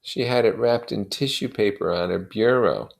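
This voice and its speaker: A man voicing a grief-dazed, obsessed husband from a novel, muttering slowly and with stubborn emphasis. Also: lowish voice, vocal fry, pitched above the performer's own voice. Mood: dazed, halting. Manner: slow, muttering, halting, emphatic. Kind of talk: dramatized novel reading.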